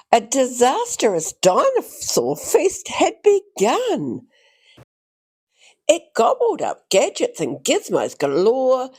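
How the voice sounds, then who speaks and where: A woman reading a rhyming children's picture book aloud in a theatrical, expressive way, drawing out phrases for young listeners. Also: on the high side, husky. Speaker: children's story reader.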